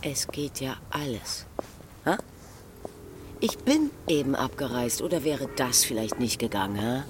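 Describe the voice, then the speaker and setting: A woman's voice: extremely low pitch, expressive, unhurried, noisy background. An actress playing a flippant, aloof woman of the 1920s Paris underworld in a radio drama, teasing and offhand. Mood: bored, offhand. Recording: loud music behind the voice.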